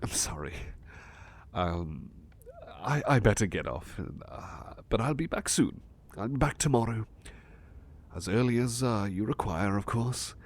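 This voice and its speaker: A man playing an eager, courteous butler in an ASMR roleplay, speaking formally and politely with flustered, excitable stammering. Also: on the low side, a little slow. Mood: bashful, admiring. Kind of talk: ASMR roleplay acting, personal attention.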